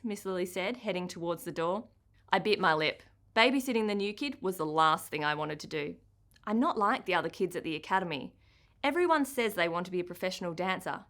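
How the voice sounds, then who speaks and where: A woman with an Australian accent reads a children's novel aloud in the first person. Her pitch is medium, and her delivery is expressive storytime narration. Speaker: author reading her own book.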